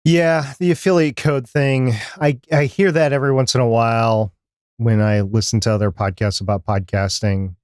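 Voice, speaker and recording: A man's voice: fairly low pitch, a little mellow, no room sound. Man chatting casually, close and dry. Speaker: podcast co-host. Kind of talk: casual chat about podcasting.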